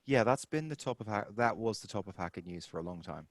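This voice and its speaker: A man's voice: fairly low pitch, animated, fast, no echo, studio quality. A man co-hosting a podcast who mostly chimes in with short replies and friendly suggestions. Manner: brief responsive interjections, friendly suggestions. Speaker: podcast co-host. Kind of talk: casual conversation.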